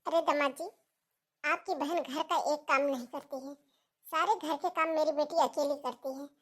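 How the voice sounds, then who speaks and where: A woman's voice: high-pitched, fairly even intonation, moderate pace, a bit bright. A woman voicing a protective, blunt middle-aged mother in a cartoon family drama, speaking in a firm, plain way. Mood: firm, insistent.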